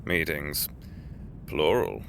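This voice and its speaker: An actor playing a courteous middle-aged executive, avuncular and formal, with genteel, deliberate phrasing in an audio drama. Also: male voice, extremely low pitch, very animated, creaky, a bit bright, slight background noise, exaggerated voice. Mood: weary, curious.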